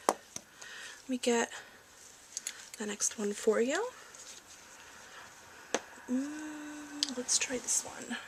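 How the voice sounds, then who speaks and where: A soft-spoken woman who often slips into a whisper, playing a gentle, reassuring doctor in an ASMR medical exam roleplay. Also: on the high side. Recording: clearly noisy amateur recording.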